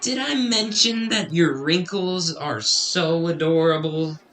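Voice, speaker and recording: A man playing a flirtatious, easily flustered Christmas elf in a radio drama, with theatrical, exaggerated delivery. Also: fairly high pitch. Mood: flirtatious, smarmy.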